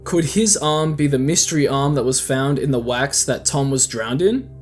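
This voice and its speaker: A man's voice: mid-range voice, moderate pace. A man delivering scripted video essay commentary in a conversational, opinionated way. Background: background music.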